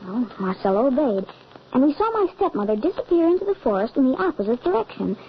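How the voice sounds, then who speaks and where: A woman's voice: high-pitched, big pitch swings, quick pace. A woman playing an anxious young boy who narrates a grim family tale in earnest, dramatic old-time radio style. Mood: foreboding. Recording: muffled, noisy old radio recording.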